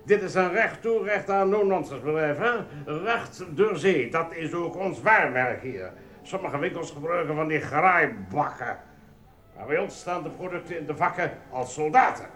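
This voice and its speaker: A man's voice: fairly high pitch, animated, moderate pace, a bit bright, roomy sound. An actor playing a smug, officious assistant supermarket manager in a radio play, a pompous man who loves order. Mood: smug, lecturing.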